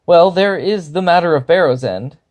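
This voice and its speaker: A man's voice: normal speed, darkish tone, natural voice. A man voicing a nervous young male would-be hero who stammers and blusters. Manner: stammering, flustered, higher-pitched.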